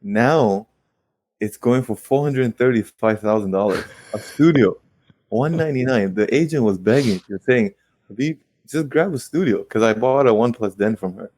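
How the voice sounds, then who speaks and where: A man in his late twenties, a guest investor telling stories in a casual interview with quick rueful asides. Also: mid-range voice, darkish tone. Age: 20s. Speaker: guest real estate investor.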